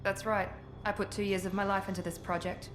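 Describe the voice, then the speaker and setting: A woman's voice: mid-range voice, level tone, fairly quick, over music, lightly acted. A woman playing a cool, self-assured, ruthless covert operative in a video game, with a clipped, haughty, businesslike delivery. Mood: cool, blunt. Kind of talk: video game cutscene acting.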